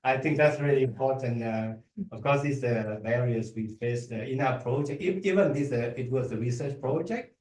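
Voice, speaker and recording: A man with a Vietnamese accent is presenting at an academic event. His delivery is halting, with restarts and repeated words. He sounds set back from the microphone in a reverberant room. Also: medium pitch, a little mellow. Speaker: academic researcher, guest speaker. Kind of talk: conference talk and audience Q&A. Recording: echoey room, distant microphone.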